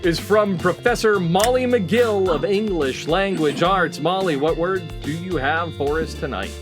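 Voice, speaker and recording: A man's voice: sits high, unhurried, over music. A middle-aged man hosting a comedy panel game with playfully ceremonious, announcer-like introductions. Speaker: game show host.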